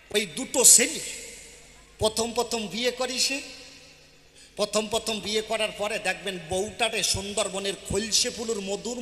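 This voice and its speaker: Male comic folk storyteller, high bright voice, chatty repetitions, stage delivery. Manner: repeats phrases, checks in with listeners. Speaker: folk performer telling comic stories. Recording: very echoey hall, faint noise.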